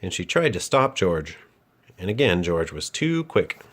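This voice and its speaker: A man's voice: low-pitched, sing-song, unhurried. A man reading a children's picture book aloud as a storyteller and host.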